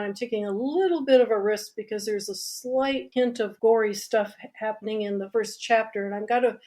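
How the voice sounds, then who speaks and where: A woman with a fairly high voice speaks as an interview guest. She is chatty and expansive, explaining things in a casual, conversational way. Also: darkish tone. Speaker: guest, mystery author and writing teacher.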